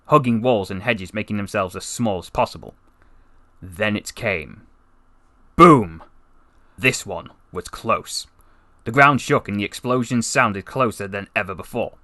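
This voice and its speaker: A man reading a story as a voice actor, in a solemn, dramatic and deliberate narrating style. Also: mid-range voice, pushed and bright, no echo.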